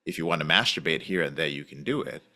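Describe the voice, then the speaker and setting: A man who is a podcast guest, talking in an animated, casual way and acting out the voices he quotes. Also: very deep voice, quick pace. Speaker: podcast guest, recovery program founder.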